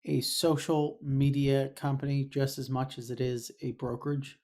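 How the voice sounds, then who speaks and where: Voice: medium pitch, flat delivery, moderate pace, darkish tone. A podcast host interviewing a guest remotely, talking conversationally with fillers and playful asides. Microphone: remote call setup.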